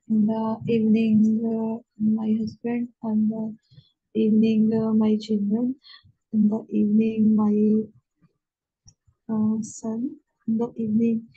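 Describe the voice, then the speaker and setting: A woman from Pakistan, a native Urdu speaker and test candidate, answering in halting beginner English full of pauses. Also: a little high, breathy edge, no room sound, phone-quality sound. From Punjab, Pakistan.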